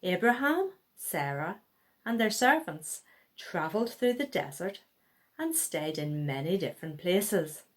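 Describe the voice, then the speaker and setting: Woman reading a children's story, very expressively. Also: on the low side. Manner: expressive, acting out dialogue. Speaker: children's Bible story reader. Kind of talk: read-aloud children's story.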